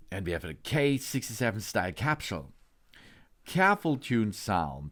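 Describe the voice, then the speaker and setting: A man presenting a product walkthrough, partly reading out specifications, with halting phrasing and occasional stumbles. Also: on the low side. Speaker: product reviewer. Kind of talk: product walkthrough, partly read from spec sheet.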